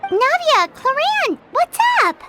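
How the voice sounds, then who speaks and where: A woman voicing a tiny, chirpy fairy companion girl in a video game, childlike and squeaky, eager and curious. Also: very animated, slow pace, over loud music, heavily acted. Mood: curious, excited. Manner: exclamatory, refers to herself in third person.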